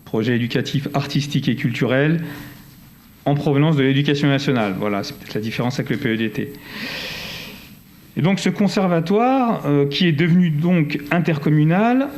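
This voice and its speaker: A man's voice: on the high side, animated, a little fast, a little mellow. A man with a French accent presenting formally as a local councillor, partly improvised, in a wordy, digressive, self-correcting manner. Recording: faint background noise.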